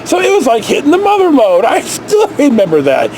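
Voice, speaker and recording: A man's voice: very high-pitched, a little fast, slight background noise, low-quality recording. A middle-aged man with an American accent telling personal stories in a casual, rambling, salty way, recorded indoors on a camera at arm's length. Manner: salty, sweary, animated reminiscing. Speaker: storyteller, vlog host. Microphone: camera held at arm's length.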